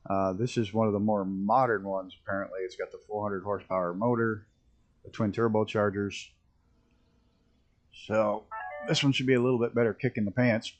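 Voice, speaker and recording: A man with a rough early-morning voice, chatting casually and rambling as he streams a flight simulator. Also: on the low side, fairly even intonation, moderate pace. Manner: rambling, self-interrupting, chuckling at mishaps. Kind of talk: casual live-stream commentary.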